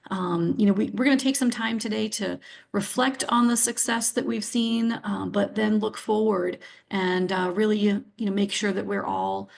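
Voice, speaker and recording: A woman's voice: medium pitch, creaky. A woman, presenting a webinar over a video call. She has a scratchy voice from sinus trouble and talks in an upbeat, encouraging way with frequent fillers.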